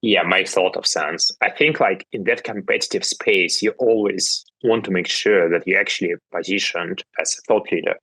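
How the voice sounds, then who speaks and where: Deep husky-voiced eager male host. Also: moderate pace, few pauses, a bit bright, no echo, poor audio. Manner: eager, repeats basically and definitely. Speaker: podcast host. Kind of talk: interview questions.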